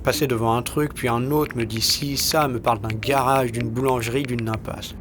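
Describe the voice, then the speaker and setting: A man reading a scripted first-person short story in a studio, with an understated, deadpan and colloquial delivery. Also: mid-range voice, normal speed, background noise. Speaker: narrator of his own audio short story. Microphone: studio recording.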